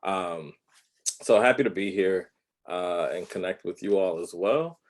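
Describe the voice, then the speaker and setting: A man with a very deep voice introduces himself on a video call. He is friendly and upbeat, and his sentences are loosely strung together with ums. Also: a little slow. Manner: friendly, upbeat, filler-heavy. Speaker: design professor and founder. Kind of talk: casual panel conversation.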